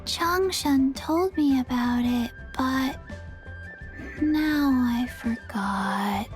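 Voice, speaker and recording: A woman voicing a small, forgetful zombie girl in a video game, speaking in a childlike, halting, drowsy deadpan. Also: high-pitched, soft background music. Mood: placid, deadpan. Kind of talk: video game character dialogue.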